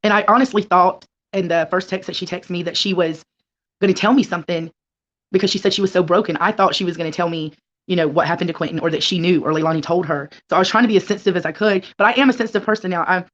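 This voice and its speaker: A woman's voice: on the low side, quick pace. A middle-aged woman answering an interviewer conversationally and earnestly. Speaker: interview guest. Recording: dull, lo-fi call audio.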